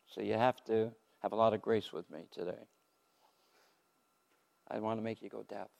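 An older man telling his faith story from the pulpit in a loud, lively way, laughing between points. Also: on the low side, moderate pace, gravelly. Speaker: guest speaker giving testimony. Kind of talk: testimony sermon. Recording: long hall reverb.

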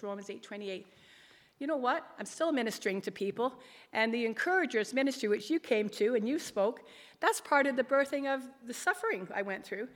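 Woman, middle-aged, higher-pitched, big emphatic voice, earnest rambling church testimony, long hall reverb. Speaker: lay ministry leader giving testimony. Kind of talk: personal testimony at a church gathering. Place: large reverberant room, speaking to an audience.

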